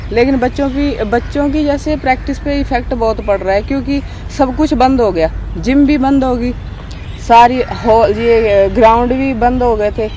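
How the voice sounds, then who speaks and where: A woman's voice: a little high, a little mellow. A middle-aged woman talks into a handheld mic at an outdoor sports ground, her thoughts wandering between filler words. Faint outdoor noise hangs behind her. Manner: rambling, frequent filler words. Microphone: handheld reporter mic.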